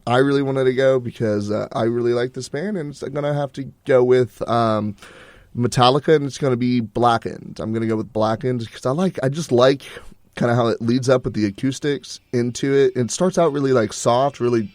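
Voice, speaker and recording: A man's voice: medium pitch, fairly quick, dry recording. Young male college radio co-host, loose and chatty, mid-range voice, dry booth sound. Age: young adult. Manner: rambling, relaxed storytelling with fillers. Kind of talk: casual chat.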